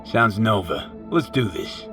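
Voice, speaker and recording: A man's voice: on the low side, lively intonation, slow. A man playing an easygoing, curious nomad drifter in a video game, answering in short, casual, slangy lines. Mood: wry, easygoing. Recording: loud game music behind.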